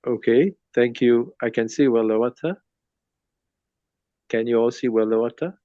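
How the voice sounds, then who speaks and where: A man in his fifties from Sri Lanka gives an informal online presentation with slides, speaking haltingly and rephrasing as he goes. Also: mid-range voice, dark tone, no room sound. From Sri Lanka, later Nigeria. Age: 50s. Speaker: speaker at a cultural talk. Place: online video call. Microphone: phone. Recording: dull lo-fi phone call audio.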